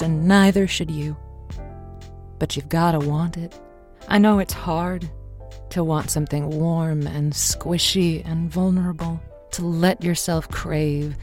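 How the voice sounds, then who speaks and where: A woman playing a wistful, self-deprecating romantic late-night radio host in an audio drama, with a breathy, confiding delivery. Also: on the low side, darkish tone, lightly acted. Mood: wistful, intimate. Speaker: radio call-in show host. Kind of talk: semi-improvised audio drama, intimate radio monologue.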